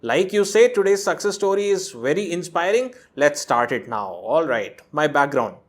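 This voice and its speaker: A man's voice: a little high, lively intonation, normal speed. Man reading a viewer's story aloud. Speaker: host reading a viewer's letter. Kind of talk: narrated reading with commentary.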